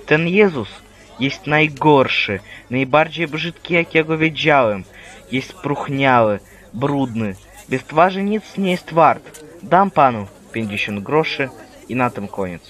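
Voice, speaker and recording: A man playing a poor, humble friar in an animated religious play, speaking earnestly and plainly. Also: medium pitch, slow. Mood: disparaging.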